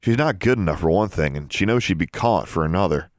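Teenage older brother read by a male narrator, weary, defensive, exasperated, animated intonation. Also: low voice, fast, no room sound, clean studio sound. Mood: reasoning.

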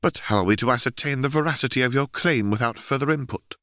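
A man's voice: mid-range voice, fast, a bit bright, dry recording, studio quality, pitched above the performer's own voice, lightly acted. Man playing a testy old woman archivist. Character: older female archivist, testy and impatient.

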